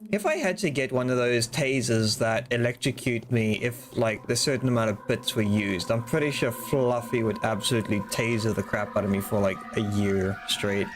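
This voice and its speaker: A man's voice: medium pitch, moderate pace, hardly any pauses, soft background music. A man streaming a game live, chatting loosely and rambling to his viewers and to himself. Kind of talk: casual live-stream chat while playing.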